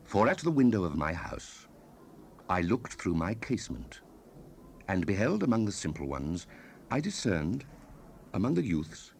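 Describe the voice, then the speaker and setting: A man's voice: deep voice, gravelly, a little mellow. A man giving a solemn, theatrical dramatized reading of scripture, recorded on an old cassette with hiss. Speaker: scripture narrator. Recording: 1970s cassette rip with hiss.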